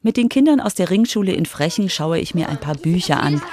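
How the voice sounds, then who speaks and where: A woman's voice: fairly low pitch, expressive, a little fast, a little mellow, soft background music. A woman narrating a scripted children's radio feature as its reporter.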